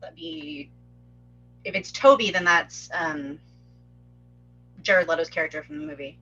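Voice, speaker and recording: A woman's voice: lowish voice, big pitch swings, unhurried, creaky, bright, forceful tone, slightly rough, lo-fi sound. A woman chatting casually in an explanatory way on an online group call. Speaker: participant helping run an online script table read.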